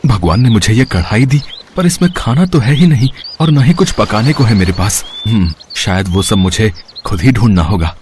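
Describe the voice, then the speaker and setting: A humble young woodcutter, voiced by a man in a cartoon, earnest and devout, with a lowish voice that moves lively through its pitch. A clear hiss of noise sits under the recording. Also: normal speed, lightly acted.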